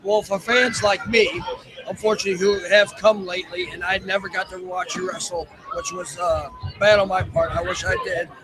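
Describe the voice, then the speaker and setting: A man interviewing wrestlers at ringside, friendly and quick with affirming responses. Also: sits high, steady tone, rough recording. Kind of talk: casual interview. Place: outdoors at a wrestling show. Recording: crowd noise at a live wrestling event.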